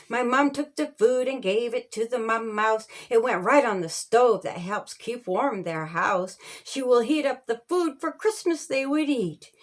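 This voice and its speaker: A woman reading a children's storybook aloud as its author, in a measured, sing-song rhyming delivery. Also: on the high side, fairly bright tone.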